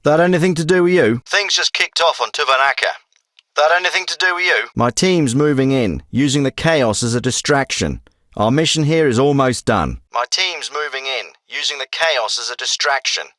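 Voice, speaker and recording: A man's voice: mid-range voice, normal speed, sharp tone, no room sound, studio quality, natural voice. Man acting terse, commanding special forces leader. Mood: brisk, serious. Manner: clipped, businesslike military briefing delivery. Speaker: video game voice actor. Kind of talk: scripted military radio dialogue.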